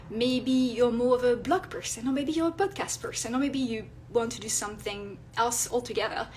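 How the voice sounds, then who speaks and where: A woman with a French accent, French her first language, giving tips on a live video and pausing often with fillers and restarts. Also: a little high, a little hiss or hum, rough recording. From France. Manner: hesitant, many fillers and restarts. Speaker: illustrator and vlogger. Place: indoors at home, near a street.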